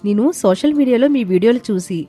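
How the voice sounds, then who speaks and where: A woman voicing a polite, earnest young female charity worker in an animated moral story. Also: fairly high pitch, brisk, husky. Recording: background music under the voice.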